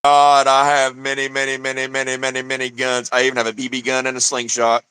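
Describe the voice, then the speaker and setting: A man's voice: medium pitch, completely flat delivery, fast talker, sharp tone, clean tone, no echo. A man co-hosting a livestream, chatting bluntly with crude jokes and frequent laughter. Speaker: livestream co-host. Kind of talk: casual joking commentary.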